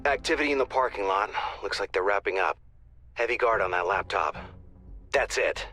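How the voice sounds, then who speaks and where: An actor playing a brisk male mission handler in a tactical game, directing a squad with dry, wry wit. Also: on the low side, pushed and bright. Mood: wry, alert. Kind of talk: scripted game mission briefing.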